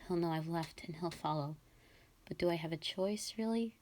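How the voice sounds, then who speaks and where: A woman's voice: fairly low pitch, normal speed, a little mellow, a little hiss or hum, lightly acted. A woman voicing a worried, hesitant young mother from a village in a scripted audio drama. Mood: anxious, hesitant. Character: anxious, frightened village wife and mother.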